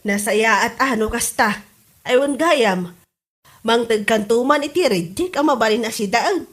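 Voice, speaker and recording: A woman's voice: on the high side, animated, measured pace. A woman with an Ilocano accent playing a curious, admiring village mother in a comedy audio drama.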